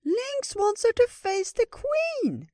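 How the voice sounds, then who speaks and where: Super high squeaky little critter, excitable n anxious, repeats itself, storybook. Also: slow, no echo, studio quality, full character voice. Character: small excitable anxious storybook creature, childlike and eager.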